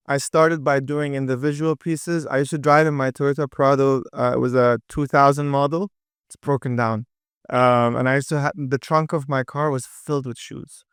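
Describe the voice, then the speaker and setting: A lively young man from with a crisp voice. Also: mid-range voice, no echo, clean studio sound. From Dubai. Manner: energetic, quick, with many fillers and restarts. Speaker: podcast guest, entrepreneur. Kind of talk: casual interview chat.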